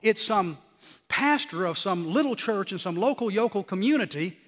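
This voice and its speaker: A middle-aged male preacher with a high, nasally and slightly scratchy voice. He talks in an animated way and climbs to emphatic peaks. His voice rings out with a long reverb in a church hall. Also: normal speed. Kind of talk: sermon.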